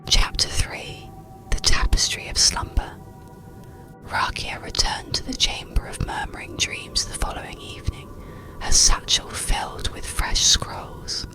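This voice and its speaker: A narrator reading a soothing bedtime story in a breathy, unvoiced ASMR whisper, with gentle pauses. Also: extremely low pitch, rough recording. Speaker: audiobook narrator.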